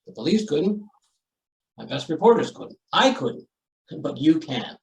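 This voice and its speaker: A man's voice: fairly high pitch, very animated, unhurried, vocal fry, full character voice. Man playing an exasperated editor, echoey and distant. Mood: sarcastic exasperation. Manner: sarcastic, blustering. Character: exasperated, sarcastic male newspaper editor. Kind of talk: old-time radio comedy-thriller acting.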